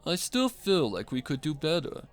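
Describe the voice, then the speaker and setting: A man's voice: fairly high pitch, big pitch swings, moderate pace, voice pushed up. A man voicing a fussy classical musician pony in a story, earnest and opinionated.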